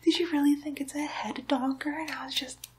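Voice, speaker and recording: A woman's voice: high voice, steady tone, darkish tone, natural voice. A woman voicing a mischievous young demon girl posing as a nurse, whispering coaxingly and teasingly in an ASMR roleplay. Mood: gleeful, mocking. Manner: slow, coaxing, teasing whisper with playful baby talk.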